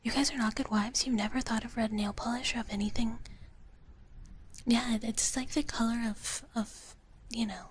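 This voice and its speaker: Soft whispering woman, ASMR streamer. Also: on the high side, steady tone, normal speed, a little hiss or hum. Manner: playful, speaks of herself in third person. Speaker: streamer performing as a dragon-girl character. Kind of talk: whispered live ASMR stream, casual chat. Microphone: close-up ASMR microphone.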